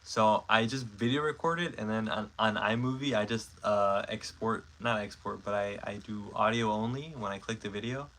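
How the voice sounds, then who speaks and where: A man's voice: on the low side, moderate pace, hardly any pauses, fairly bright tone, rough recording. A man in his twenties hosting a casual livestream, rambling with lots of fillers and self-corrections while chatting with viewers. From Mexico. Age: 20s. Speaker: stop-motion creator hosting a livestream. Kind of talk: casual livestream chat, reading comments.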